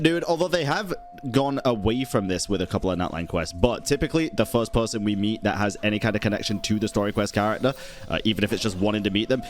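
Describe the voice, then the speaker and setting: A man casually reacting to a game as a streamer, blunt and sweary with lots of slang. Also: mid-range voice.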